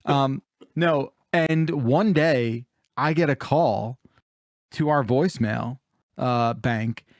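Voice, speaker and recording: A man hosts a casual, comedic podcast in a mid-pitched voice. The sound is close and dry, with little room on it. His talk is loose and conversational, like someone telling stories among co-hosts. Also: slow, no room sound. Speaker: podcast host.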